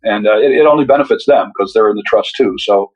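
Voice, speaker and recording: A man's voice: fairly low pitch, fast talker, breathy edge. An older man, the guest author, talking in a reflective, rambling way with frequent restarts. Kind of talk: casual interview conversation.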